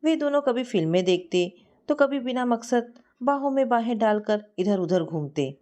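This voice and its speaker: A woman narrating a scripted story in an expressive, sentimental manner. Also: a little high, normal speed.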